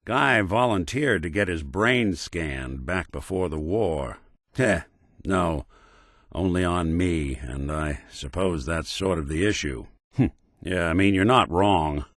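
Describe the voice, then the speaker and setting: An actor playing a world-weary American robot detective in a video game, with a wry, hesitant, hard-boiled noir delivery. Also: male voice, low-pitched, animated, slow pace, no room sound, clean studio sound, exaggerated voice. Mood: confiding. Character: world-weary synth detective, a machine with an old-time cop's personality.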